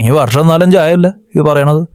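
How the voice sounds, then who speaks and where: A cocky young slacker, voiced by a man, snaps back in a Kerala accent. His voice sits a little high, and it sounds close and dry. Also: moderate pace, darkish tone, clear, resonant tone, no echo, lightly acted. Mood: sulky. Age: young adult. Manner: sulky, cocky comebacks. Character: lazy jobless young man, cocky and sulky.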